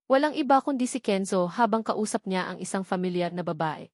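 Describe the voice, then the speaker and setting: A woman's voice: medium pitch, expressive, a little fast, dry recording. Woman narrating a novel, dry close sound. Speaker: audiobook narrator. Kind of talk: scripted first-person novel narration.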